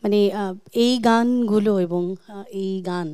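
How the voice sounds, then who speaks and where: A woman with a Bangladeshi accent hosting a music talk show conversationally, in halting, repetitive phrases. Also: medium pitch. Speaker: host.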